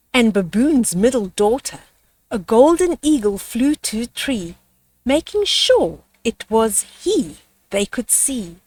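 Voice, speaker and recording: An older woman hosting a children's storytime, reading a picture book aloud in a measured, dramatic way. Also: on the high side, darkish tone, dry recording, distant mic.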